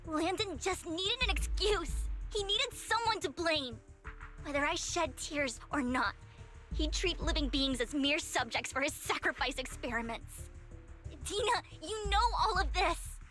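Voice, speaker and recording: An earnest young anime game heroine with a high, bright voice. She speaks in a solemn, formal, pleading way, as if carrying real trouble, over background music. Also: lively intonation, a little slow, heavily acted. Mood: grave, defiant. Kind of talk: dramatic video game voice acting.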